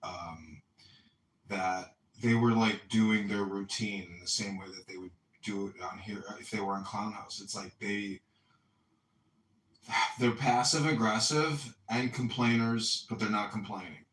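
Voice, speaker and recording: A man's voice: lowish voice, fairly even intonation, a little slow, a bit bright. A man hosting a casual live audio chat, joking and rambling with trailing sentences. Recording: echoey room from far off with faint noise.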